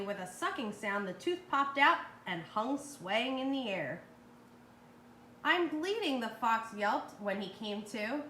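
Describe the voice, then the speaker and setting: A woman's voice: a little high, pushed and bright. Woman reading a children's story, echoey room. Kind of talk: expressive children's story read-aloud. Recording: roomy, echoey with faint noise.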